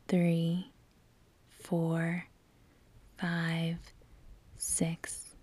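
A woman with a soft, breathy, near-whispered voice leads a guided meditation, calm and soothing, with long measured pauses. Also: on the low side, no echo.

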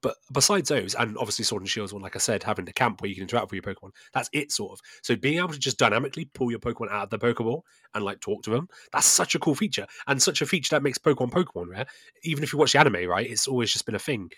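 A man's voice: mid-range voice, animated, rapid-fire, a bit bright, breathy edge, no room sound. A man co-hosting a casual video game chat, enthusiastic and often checking in with "right?". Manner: enthusiastic, tags statements with "right?". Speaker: podcast co-host.

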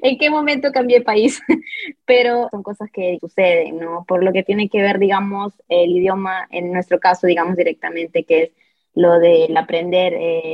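A woman's voice: on the low side, dry recording. A woman whose first language is Italian, talking as a panel guest and explaining things conversationally. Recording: slightly dull, dry audio.

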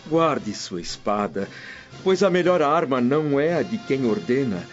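Guy acting a dignified freed slave in a radio soap, solemn n formal but pitch moves a lot, muffled audio, soft music. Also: lively intonation, lightly acted. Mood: calm, dignified. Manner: measured, solemn, formal speech. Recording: dull lo-fi radio drama, music underneath.

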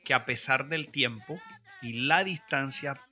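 A man interviewing in a reflective, literary way, choosing his words deliberately and haltingly. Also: medium pitch, sharp tone, soft background music.

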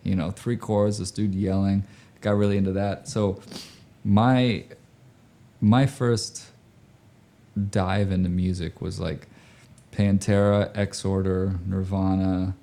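A man's voice: low voice, level tone, takes it slowly, mellow. A man in his forties from Indonesia, chatting casually about music in an informal, slangy, storytelling way. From grew up overseas in Indonesia. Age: 40s.

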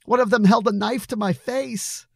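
A man's voice: a little high, animated, normal speed, creaky, a little mellow, breathy edge, dry recording, clean studio sound, voice pushed up, heavily acted. A man voicing an agitated, defensive young man on the edge of tears in an audiobook story. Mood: yelling, tearful. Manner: evasive, emotional outbursts.

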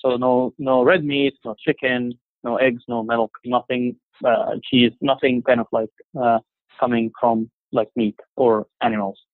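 Egyptian guy on a phone line, halting, lots of ums, dry and tinny. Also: medium pitch, flat delivery, no room sound. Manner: halting, many fillers and restarts. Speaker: interview guest. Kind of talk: casual conversation.

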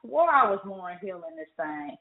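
A woman from California speaks as a podcast guest in a low voice, thoughtful and full of fillers. Her voice comes through a thin, phone-like line. It also rings in an echoey space. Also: big pitch swings, normal speed. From California, United States. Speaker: guest, author and poet. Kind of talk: casual interview chat.

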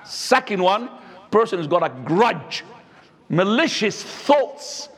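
A man, preaching a sermon as an imam, swinging between high and low. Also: sing-song, slow, fairly bright tone, breathy edge. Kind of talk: Friday sermon. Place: mosque. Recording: echoey hall.

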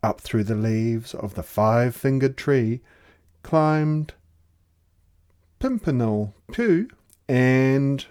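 A storyteller reading a rhyming children's picture book aloud in an expressive, theatrical way. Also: medium pitch, drawn-out words, pauses often. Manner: theatrical, dramatic delivery.

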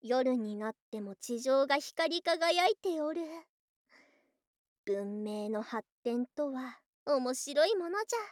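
A voice actress playing an affectionate snake-spirit wife in a Japanese ASMR voice drama, with archaic, coy, excitable speech and open devotion. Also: female voice, high voice, slow, dry recording, studio quality. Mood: wistful, sweet. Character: affectionate female lamia snake-spirit wife, girlish yet old-fashioned.

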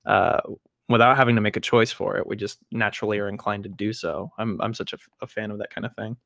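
A man's voice: lowish voice, steady tone, quick pace, gravelly, a bit bright, no echo, clean studio sound. A man who works as an actor, co-hosting a podcast and musing in a reflective, filler-heavy way. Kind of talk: casual podcast chat.

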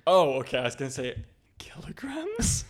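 A man's voice: on the high side, huge pitch swings, moderate pace. A man in his twenties in a casual chat, talking loosely and laughing often. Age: 20s.

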